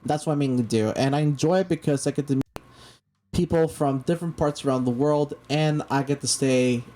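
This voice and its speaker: A man's voice: on the high side, brisk, mellow. A man in his late twenties hosting a casual talk show, rambling with frequent restarts and fillers. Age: 20s. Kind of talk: casual chat.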